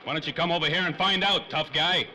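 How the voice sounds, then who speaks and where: An actor playing a hot-tempered, boozing ex-private detective, with brash, clipped slang in 1930s radio noir style. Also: male voice, a little high, steady tone, fast, pushed and bright, soft background music. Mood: gruff, scornful.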